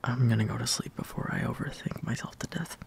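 A young man performing an intimate roleplay monologue as a lovestruck, insecure friend, in a hesitant, breathy half-whisper that trails off. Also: mid-range voice, fast. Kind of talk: soft intimate roleplay monologue, partly improvised.

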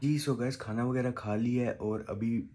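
A man's voice: medium pitch, fairly even intonation, normal speed, a little hiss or hum. A man in his twenties with a Pakistani accent, chatting casually as a vlogger. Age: 20s. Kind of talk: casual vlog chat. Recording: loud music behind the voice. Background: loud music.

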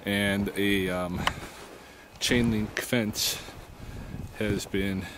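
Slow, halting middle-aged man outdoors in wind. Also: lowish voice, fairly even intonation. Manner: halting, reflective, with long pauses. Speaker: vlogger on a night hike. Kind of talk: casual spoken vlog, thinking aloud. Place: outdoors at night, open field. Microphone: camera held close to face. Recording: windy outdoor camera mic, noisy. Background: wind noise.

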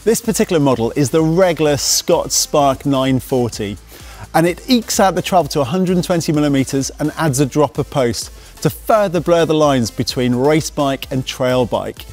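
A young man narrating a scripted mountain bike review in a brisk, technical, matter-of-fact way, speaking outdoors in woodland on a lapel mic. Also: on the high side, darkish tone. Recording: faint music underneath.

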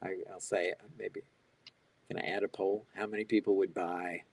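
An older man with a husky voice that sits a bit low, answering questions live over a video call. He reads each question out, then talks it through off the cuff with plenty of "um"s and restarts. The sound comes through an earbud mic in a small home office. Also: flat delivery, normal speed. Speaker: keynote speaker answering audience questions. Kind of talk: live online Q&A. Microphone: wired earbud headset on a video call.

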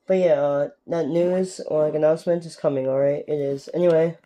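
A young man from Canada giving rambling, casual gameplay commentary with a sore, strained throat, recorded on a phone filming a TV in a dark room at home. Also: a little high, fairly even intonation, a little fast. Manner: rambling, keeps asking "alright?". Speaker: gaming YouTuber.

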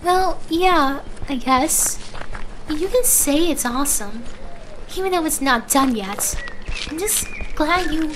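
A woman's voice: high voice, expressive, slow pace, noisy background. A woman voicing a shy, flustered young woman in an ASMR roleplay, speaking hesitantly with bashful stammers. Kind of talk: ASMR roleplay audio drama.

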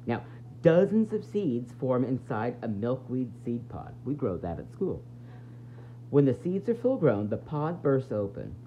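A woman's voice: very deep voice, mellow, highs cut off, a little hiss or hum. A woman teacher reading a children's nonfiction book aloud, expressively explaining it and putting questions to young listeners.

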